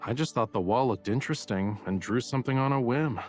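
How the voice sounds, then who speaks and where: An actor playing a playful, aloof art professor and boyfriend in a romantic game, teasing and understated, with music behind him. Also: male voice, medium pitch, fairly quick, natural voice. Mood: musing.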